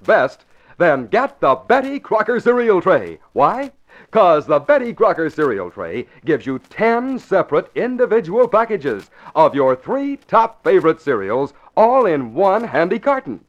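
High, animated American 1940s radio announcer. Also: male voice, a little slow. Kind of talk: 1940s radio announcing, scripted sponsor pitch. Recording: dull old 1940s radio recording.

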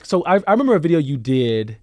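A man's voice: fairly high pitch, very animated, fast talker. A man hosting a casual chat interview, agreeable and often echoing phrases back to the guest. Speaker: interview host.

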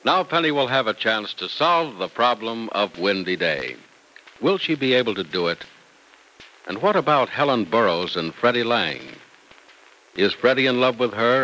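A man's voice: mid-range voice, sharp tone, poor audio. A man announcing in 1940s radio style, reading a script with formal, emphatic salesman delivery.

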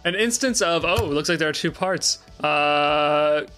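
A man's voice: fairly high pitch, measured pace, sharp tone, clean tone, soft background music. A man hosting a stream in casual chat. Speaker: stream host.

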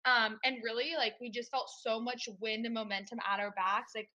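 Upbeat woman guest, bright and forward tone, higher pitch, eager storyteller. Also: steady tone, normal speed, muffled recording. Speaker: podcast guest, business co-founder. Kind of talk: casual interview storytelling.

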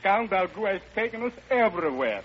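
An actor playing a hapless, deferential Soviet trade envoy in an old radio comedy. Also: male voice, high voice, big pitch swings, a little fast, a bit bright, husky, full character voice. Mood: sheepish. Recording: dull, hissy vintage radio recording.

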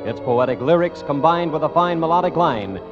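A man's voice: fairly high pitch, lively intonation, a little fast, music in the background. A man reading scripted announcements as a host in 1950s radio style. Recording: muffled vintage radio recording, long reverb.

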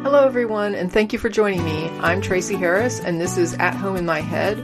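A woman's voice: lowish voice, a little fast. Friendly woman hosting a podcast. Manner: friendly, welcoming interviewer. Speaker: podcast host. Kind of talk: podcast interview. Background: guitar music.

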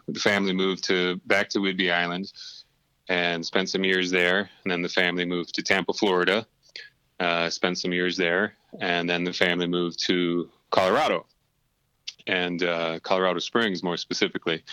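A man's voice: low voice, sharp tone, smooth, no echo, rough recording. A middle-aged man, a podcast guest, telling his life story in casual chat, rambling and full of fillers.

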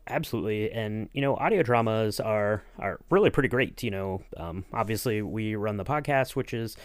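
A man with a fairly deep voice interviews on a podcast in a dry, close recording. He is casual and upbeat about things, and he rambles as he works toward each question. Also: normal speed, smooth, no room sound. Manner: rambling, enthusiastic, peppered with "you know". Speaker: podcast co-host acting as interviewer.

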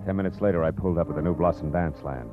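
A hard-boiled, wry private detective, played by a man in an old radio drama, speaking tersely in a lowish voice. He also narrates the story in the first person. The sound is dull and lo-fi. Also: rapid-fire, music in the background, lightly acted. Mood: narrating. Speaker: narrator and lead character.

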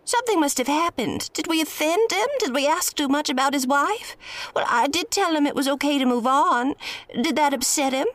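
An actress playing a nosy, kindly older woman who worries aloud in quick strings of questions. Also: sits high, lively intonation, dry recording. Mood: worried. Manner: fretful rapid questions. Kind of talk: audio drama acting.

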